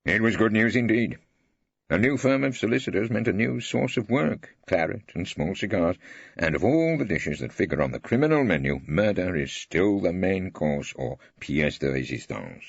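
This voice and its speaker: Man as a low, husky old English barrister. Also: animated, moderate pace, no room sound, highs cut off, exaggerated voice. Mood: wry. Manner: wry, pompous, ornate. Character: weary, witty old English barrister, grumbling narrator. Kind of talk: scripted first-person audiobook narration.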